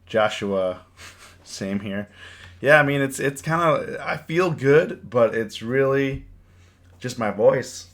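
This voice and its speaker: A man with a cold and a hoarse voice, hosting a casual live stream and chatting with his viewers, often repeating his words. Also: mid-range voice, expressive, takes it slowly, fairly bright tone. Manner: rambling, repeats words, reads chat aloud. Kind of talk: casual live stream chat about trading.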